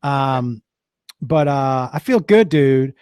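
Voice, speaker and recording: A man in his fifties from Western Massachusetts hosting a casual chat over a video call, his voice hoarse, often stammering and restarting and laughing easily. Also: medium pitch, animated, slow, darkish tone, no echo, studio quality. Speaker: host.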